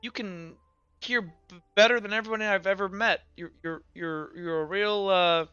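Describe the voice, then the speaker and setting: Man playing a shy, stammering, bright-voiced teenage boy. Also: high voice, normal speed, clean tone, dry recording. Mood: earnest, awkward. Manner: hesitant, stammering, sheepish. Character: shy, scarred teenage boy, earnest and guarded. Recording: dull audio with music.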